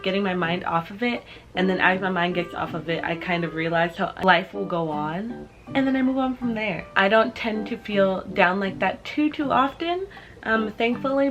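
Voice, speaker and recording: A woman in her twenties with an American accent chatting casually and informally to camera as a vlogger, in a bubbly way. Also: medium pitch. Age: 20s. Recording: music playing behind the voice.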